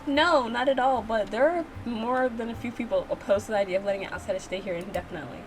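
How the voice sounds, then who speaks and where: Woman playing earnest male mafia boss, game dialogue, formal, measured, music underneath. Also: on the high side, close to an everyday voice, rough recording. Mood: firm, measured. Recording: music behind the voice.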